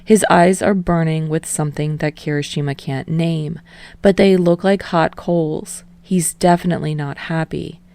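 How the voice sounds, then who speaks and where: Female fiction narrator, low register, slightly gravelly, expressive scripted read. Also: normal speed. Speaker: audiobook reader of fan fiction.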